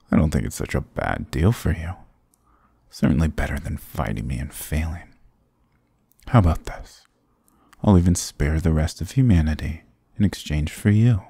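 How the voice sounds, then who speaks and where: A man's voice: mellow, no room sound, clean studio sound, heavily acted. A man playing a sarcastic, dominant demon king in an intimate ASMR roleplay, smug, teasing and condescending, often slipping toward a whisper. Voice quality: very deep, gravelly, breathy. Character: sarcastic, dominant male demon king, playfully toying with captive.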